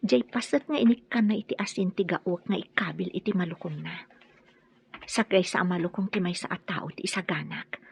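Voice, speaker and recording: An actress playing a dutiful young Filipino daughter-in-law who stands up for herself in an Ilocano radio drama. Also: female voice, lowish voice, normal speed, gravelly, noisy background. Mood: quietly suspicious.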